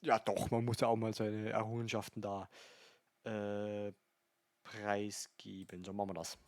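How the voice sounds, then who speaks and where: A man's voice: fairly low pitch, flat delivery, normal speed, darkish tone, no echo. A man making casual, mocking commentary while playing a video game. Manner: mocking, chatty. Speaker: let's player.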